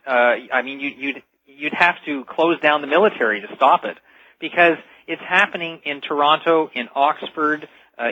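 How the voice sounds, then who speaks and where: A man speaking as an expert guest on a radio interview, explaining things in an emphatic, measured way. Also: fairly high pitch, dry recording. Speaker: interview guest, technology author. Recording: dull, band-limited radio connection.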